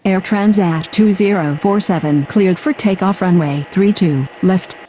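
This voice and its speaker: A woman's voice: medium pitch, measured pace, mellow, natural voice. A woman voicing a businesslike air traffic radio operator in a game, with formal, scripted calls. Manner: formal, procedural. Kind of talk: clipped, scripted radio phraseology.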